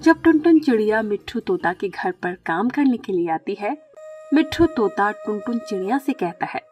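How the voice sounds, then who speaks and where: A woman's voice: mid-range voice, animated, normal speed, a little mellow, lo-fi sound, faint music behind. A woman reading scripted narration for a children's story, as the storyteller.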